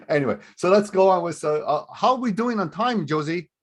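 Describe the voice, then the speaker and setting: A man's voice: high voice, expressive, moderate pace. A man presenting a live online webinar as a recruiter, explaining things in a loose, conversational way over a video call.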